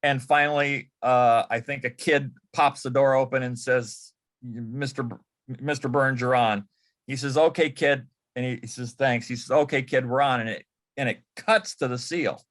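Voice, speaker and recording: A man's voice: medium pitch, fairly even intonation, moderate pace, fairly bright tone. A man telling an anecdote casually as a panel guest, correcting himself as he goes. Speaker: panel guest, former celebrity assistant.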